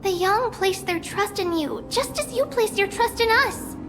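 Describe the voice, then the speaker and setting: Very high-pitched, earnest little priestess girl. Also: animated, measured pace, full character voice. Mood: earnest, pleading. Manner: earnest, formal, speaks as "we". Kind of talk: video game voice acting. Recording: loud game music underneath.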